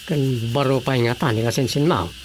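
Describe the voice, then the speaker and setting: An actress playing an inquisitive, gossiping village relative in a Filipino radio drama comedy, with an Ilocano accent. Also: female voice, a bass voice, brisk, soft background music. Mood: curious, gossipy.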